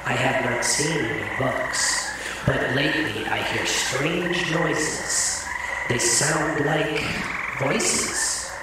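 A man voicing a sniffly, friendly female swamp monster in a children's radio drama, in a drawn-out theatrical way. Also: mid-range voice. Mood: mysterious. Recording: echoey, distant, noisy with swamp frogs.

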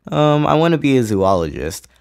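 A man's voice: mid-range voice, sing-song, moderate pace, creaky, no echo, clean studio sound. A teenage boy, a student, giving casual answers in an interview. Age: teens.

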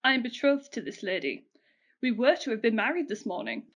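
A woman's voice: fairly high pitch, very animated, brisk, fairly bright tone. A woman playing a pompous, hot-tempered, jealous male army major in a melodramatic radio-play farce. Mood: outraged.

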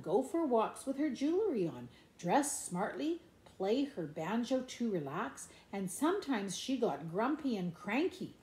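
A middle-aged woman reading a children's picture book aloud in an expressive, playful, sing-song way, with asides about the pictures. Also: fairly high pitch. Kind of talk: animated children's storybook read-aloud with asides. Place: indoors in a room at home. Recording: echoey room.

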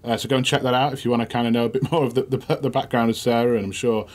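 A man's voice: medium pitch, level tone, rapid-fire. A man co-hosting a music podcast, chatting in a self-deprecating way with many fillers. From Manchester, England. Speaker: podcast co-host, former music journalist. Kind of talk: casual podcast chat.

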